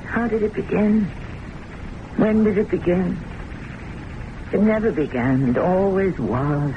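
An actress playing a weary, lovelorn secretary in an old-time radio drama, intense and wistful in manner. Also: female voice, mid-range voice, measured pace, close to an everyday voice. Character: weary, lovelorn confidential secretary, a distrustful woman patient. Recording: muffled, noisy old radio recording.

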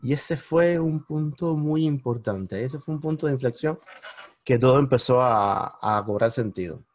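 A man with a Cuban accent, a podcast guest telling his story in lively casual conversation and bursting into high exclamations at the big moments. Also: a little slow, slight background noise. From Cuba. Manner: animated, jumps into high-pitched exclamations when retelling moments.

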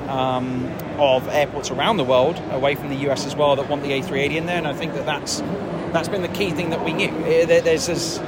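A man talks up his business plans in a confident, promotional way. His voice is a little high, and loud noise presses in behind him. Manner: confident, promotional, repeats himself. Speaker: airline founder guest. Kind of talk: business interview.

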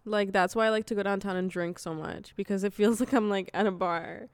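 A young woman co-hosting a casual chat podcast, her nose stuffy from allergies, chatty and quick to react. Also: medium pitch, steady tone. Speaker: podcast co-host.

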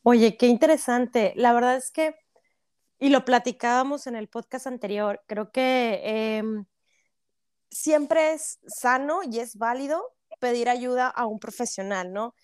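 A woman's voice: fairly high pitch, a little slow, a little mellow, clean tone, dry recording, studio quality. A woman from Monterrey with a Mexican Spanish accent, hosting a casual podcast interview in a chatty way full of fillers. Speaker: podcast host.

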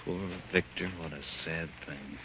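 A man's voice: rumbling low, steady tone, measured pace, a bit bright. An actor playing a stoic, protective man stranded in a wasteland, firm and earnest in old-time radio style. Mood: tender, weary. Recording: dull, hissy vintage radio recording.

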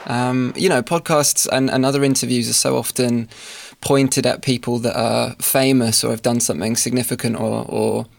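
Brief: male podcast host, gravelly, monotone, dry close sound, halting delivery. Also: medium pitch, dry recording. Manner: halting, many ums and restarts. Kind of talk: interview questions.

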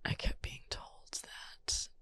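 A woman co-hosting a podcast in a soft whisper, speaking frankly and crudely, close to a special ASMR microphone, with a voice she says she often strains. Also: takes it slowly, no room sound. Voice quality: strains her voice. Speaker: podcast co-host.

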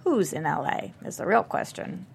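A woman's voice: deep voice, very animated, moderate pace, vocal fry, a little hiss or hum, full character voice. A woman playing an affectionate, determined everyday woman in a script table read. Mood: guarded, casual.

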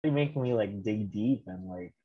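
Husky low young man, lo-fi sound. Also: normal speed. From Philippines, raised in the US. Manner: reflective, candid, laughs at himself. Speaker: podcast guest. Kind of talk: casual personal storytelling.

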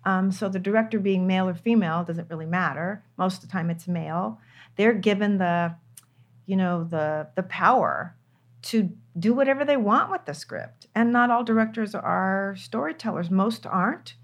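A woman's voice: medium pitch, normal speed. An older woman, a screenwriter, talking candidly in an interview, stressing her points by repeating words. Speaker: screenwriter guest.

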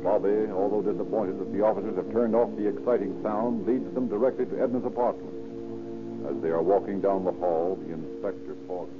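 A man playing the grave American narrator of an old-time police radio drama, formal and measured in his storytelling. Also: on the low side, steady tone, lightly acted. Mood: grave, dramatic. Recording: dull vintage radio, loud music behind.

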